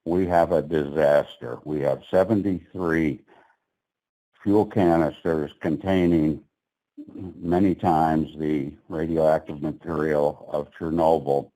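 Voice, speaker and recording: A man with a very deep, mellow voice speaks at a public meeting. He is blunt and openly sarcastic about the agency. His pitch sits low throughout. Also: steady tone, slow pace, low-quality recording. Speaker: petitioner at regulatory meeting.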